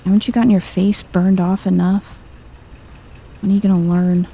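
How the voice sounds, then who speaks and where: A soft-spoken woman giving chill, low-key ASMR gameplay commentary, murmuring to herself and sometimes slipping toward a whisper. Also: level tone, moderate pace, breathy edge. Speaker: gaming video host.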